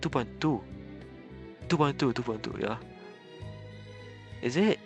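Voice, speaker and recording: A man's voice: mid-range voice, expressive, measured pace, faint music behind. A man livestreaming a game, chatting casually with viewers, chuckling often and stammering over repeated words. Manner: chuckles often between remarks.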